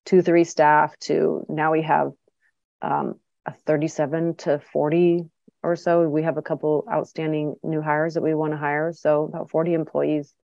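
A woman's voice: sits low, flat delivery, normal speed, vocal fry, mellow, no echo. A middle-aged woman, a clinic director, answering interview questions in a chatty way with lots of ums and restarts. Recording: dull, dry lo-fi sound.

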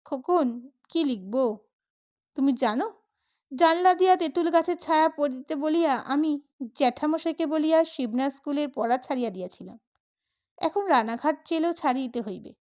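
Woman with a really high voice reading a funny story audiobook style, does the dialogue too. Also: lively intonation, moderate pace, darkish tone. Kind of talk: expressive scripted audiobook narration of a comic story.

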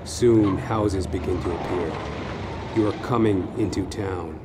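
A man narrating a horror story in a suspenseful, theatrical manner, with short deliberate phrases. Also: low voice, steady tone, very noisy. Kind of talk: dramatic second-person horror story narration. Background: music.

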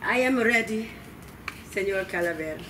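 A woman's voice: medium pitch, animated, pushed and bright. A woman voicing a wily, cheerful old grandmother in a storybook, emphatic and drawing things out to stall. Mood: delighted. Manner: sing-song, emphatic, drawn-out stalling. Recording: distant mic, noisy room ambience.

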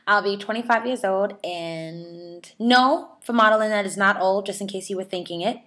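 A woman's voice: mid-range voice, bright, forceful tone. A woman in her twenties from New York City, a model, chatting in a friendly way as she answers viewer questions. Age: 20s. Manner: friendly, chatty, laughs often. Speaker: model and charity ambassador.

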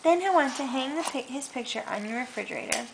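A woman's voice: sits high, animated, quick pace, gravelly. A young woman reading a picture book aloud to a toddler and chatting in a sing-song, coaxing way, recorded on a handheld camera at home. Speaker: parent reading a bedtime story. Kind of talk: reading aloud to a toddler, casual child-directed talk. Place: carpeted living room, sitting on the floor. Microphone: handheld camera a short distance away. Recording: noisy home camcorder sound, handling clicks.

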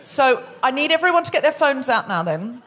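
A woman's voice: sits high, sing-song, brisk, fairly bright tone, low-quality recording. A woman hosting a live comedy podcast, playful and self-mocking in her banter. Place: live show before an audience.

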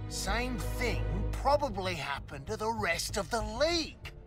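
A man's voice: sits high, big pitch swings, a little slow. A man playing a bumbling, cocky rogue in a game, hesitant and rambling in slang. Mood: bluffing. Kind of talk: video game cutscene acting. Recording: music behind the voice.

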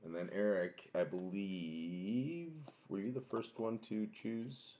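A young man with a booming voice streaming a card box break from a small home room, chatting casually and greeting viewers by name. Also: slow pace, warm tone. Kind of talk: casual live-stream chat.

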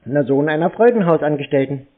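A man's voice: a little high, lively intonation, fairly quick. A man in casual phone banter, answering tersely and teasingly with a straight face. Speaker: prank call taker stringing scam callers along. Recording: muffled narrow-band phone call audio.

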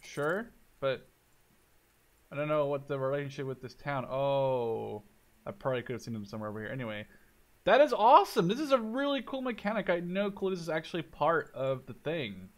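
Higher-pitched young man gaming. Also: fairly quick. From Canada or the Netherlands. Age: 20s. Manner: excitable, thinking aloud. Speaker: gaming video host. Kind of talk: casual let's play commentary.

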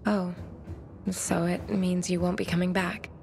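Young female game survivor, low voice, bookish, wistful, measured formal phrasing, music underneath. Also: little pitch movement, gravelly, darkish tone. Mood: wistful, tender. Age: young adult. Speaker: game character. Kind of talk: video game cutscene dialogue.

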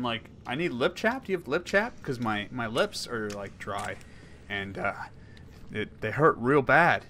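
A man in his thirties commenting on a horror game he plays, rambling and jokey, swinging from mumbles to yells. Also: mid-range voice, slight background noise. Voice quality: hoarse at times. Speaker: gaming YouTuber. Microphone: headset.

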